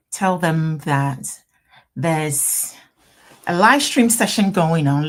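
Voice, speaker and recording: A woman from Ghana with a Ghanaian accent, an attorney hosting a live Q&A stream from her office, greeting viewers warmly and repeating herself as they join. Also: on the low side. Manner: upbeat, repetitive, greeting viewers by name and place. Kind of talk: casual livestream chat.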